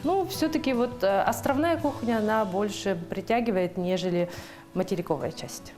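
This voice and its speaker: A young woman from Yakutia, talking casually while cooking as a guest on a TV show. She speaks at an ordinary pitch and often pauses with fillers as she gathers her words. Music plays clearly under her voice in the studio kitchen. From Yakutia, Russia. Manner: chatty, hesitant, many fillers.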